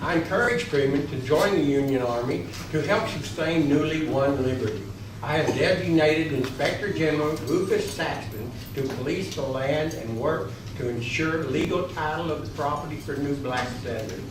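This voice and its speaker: Guy playing a civil war general giving formal orders, kinda high voice, noisy echoey hall. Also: moderate pace, lightly acted. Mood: formal, commanding. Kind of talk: readers theater recitation. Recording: distant, echoey hall, clear noise.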